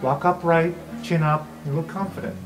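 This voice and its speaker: A woman's voice: low voice, fairly even intonation, normal speed. A woman improvising casually as a player on a tabletop roleplay podcast.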